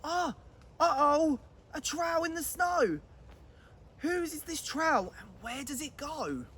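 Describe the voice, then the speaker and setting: A woman's voice: high-pitched, lively intonation, slow pace, a bit bright, voice pushed up, exaggerated voice. A woman voicing a brave, curious young monster child in a storybook, exclaiming and wondering aloud. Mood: curious, surprised.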